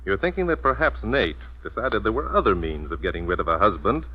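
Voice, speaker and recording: Courteous detective, low voice, formal wording, composed, old radio mystery, muffled lo-fi recording. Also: male voice, sing-song. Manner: articulate, formal, deliberate wording. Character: astute, courteous gentleman detective, confident and composed. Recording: dull vintage radio recording.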